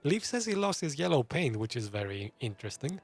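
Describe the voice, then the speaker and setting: A man's voice: medium pitch, very animated, a little fast, slightly rough, soft background music. A man giving casual, reactive commentary on a game, thinking aloud as he plays. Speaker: gaming streamer.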